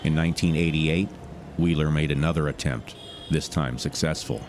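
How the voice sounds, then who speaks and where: Very deep-voiced male true-crime narrator. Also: steady tone, slight background noise. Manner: measured, dramatic storytelling. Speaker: narrator, voice actor. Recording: music bed behind narration. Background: music.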